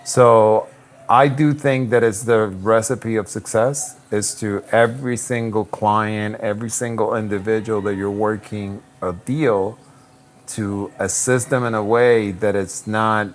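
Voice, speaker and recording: A man, a real estate broker answering interview questions in a relaxed, reflective way with many restarts. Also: lowish voice, measured pace. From Puerto Rico. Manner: easygoing, reflective, frequent restarts and "um".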